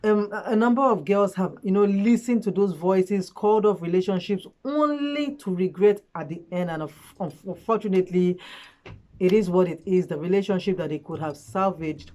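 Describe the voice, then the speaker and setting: A woman giving relationship advice in a casual, earnest vlog chat, her voice hoarse from a cold. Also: medium pitch, moderate pace, a little mellow. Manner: chatty, earnest, frequent fillers. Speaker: relationship vlogger. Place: at home late at night.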